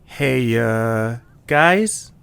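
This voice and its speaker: A man's voice: medium pitch, lively intonation, very slow, gravelly, fairly bright tone, smooth, no echo, full character voice. A man acting an earnest, encouraging young male character in a scripted audio story, speaking conversationally. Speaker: narrator and voice of the main character.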